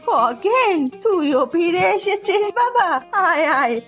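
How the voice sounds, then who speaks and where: A woman's voice: very high-pitched, big pitch swings, slow pace, fairly bright tone, soft background music, heavily acted. A woman voicing a poor old village mother in a cartoon story, speaking in an emotional, plaintive way. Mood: tender. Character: poor elderly village mother, loving but indignant.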